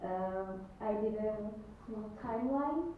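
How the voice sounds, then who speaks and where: Speaker from Algeria, woman, 30s, halting, fillers, laughs; recorded far off in an echoey hall, muffled. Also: mid-range voice, animated, a little hiss or hum. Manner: hesitant, many fillers, laughs often. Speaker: lighting designer giving a short talk. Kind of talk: timed slide presentation. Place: large echoey event space. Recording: distant, echoey, muffled event audio.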